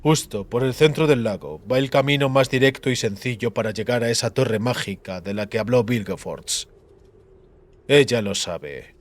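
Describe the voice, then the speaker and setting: A man voicing a cold, calculating bounty hunter, commanding and deliberate, in a fantasy audiobook. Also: medium pitch, close to an everyday voice. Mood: pensive. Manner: deliberate, brooding, murmuring.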